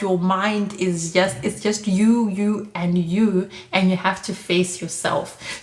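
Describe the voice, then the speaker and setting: A woman, giving chatty advice in a casual monologue, full of "like" and "you know". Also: medium pitch. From Zimbabwe. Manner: chatty, frequent "like" and "you know", light laughs. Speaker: vlogger giving immigrant advice. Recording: echoey room.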